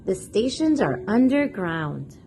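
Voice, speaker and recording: A woman's voice: mid-range voice, sing-song, takes it slowly, dark tone, slight background noise. A woman hosting a children's read-aloud, reading a picture book expressively and putting questions to young listeners. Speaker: children's read-aloud storyteller and channel host.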